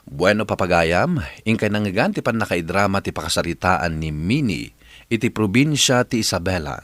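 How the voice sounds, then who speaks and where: A man's voice: deep voice, expressive, normal speed, fairly bright tone, dry recording. A man with a Filipino accent hosting a radio drama, giving scripted narration and advice in an earnest manner.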